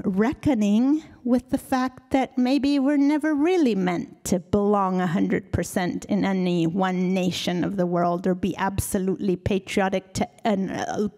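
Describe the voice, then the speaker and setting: A middle-aged woman from Argentina answering reflectively as a guest lecturer, earnest and measured, into a handheld microphone on an auditorium stage. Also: medium pitch, dark tone.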